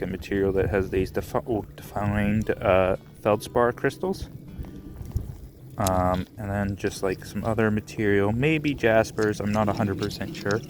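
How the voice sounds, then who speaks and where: A man casually narrating his rock hunt on a rainy beach outdoors, relaxed and musing, thinking aloud with small restarts. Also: fairly low pitch, noisy background. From Canada. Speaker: rockhounding vlogger.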